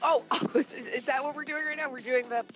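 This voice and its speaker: A woman's voice: high-pitched, steady tone, brisk. A woman in casual conversation as a co-host, playful and teasing in the way she talks. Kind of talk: casual chat. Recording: music playing behind the voice. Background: music.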